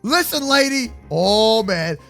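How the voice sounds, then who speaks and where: A young man hosting a gaming video with excitable, slangy, teasing roleplay commentary. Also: sits high, slow pace, vocal fry. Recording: music behind the voice. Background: background music.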